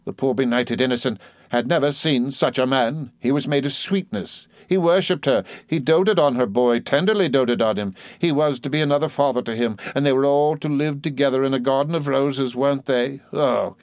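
A man's voice: medium pitch, moderate pace, dry recording, voice pushed up, close to an everyday voice. A man voicing a fierce, blunt elderly aunt who scolds with indignation. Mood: scolding.

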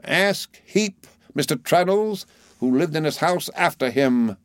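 Pompous middle-aged gentleman played by a male narrator, raised pitch, grandiloquent, savouring every formal phrase, classic novel audiobook. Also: expressive, measured pace, no room sound, clean studio sound. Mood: triumphant challenge. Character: pompous, florid middle-aged man triumphantly reading out an accusation.

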